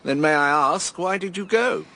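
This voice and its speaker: A man's voice: high-pitched, moderate pace, sharp tone. Melancholy, reserved Englishman. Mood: polite, awkward. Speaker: actor in radio drama. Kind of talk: radio drama acting.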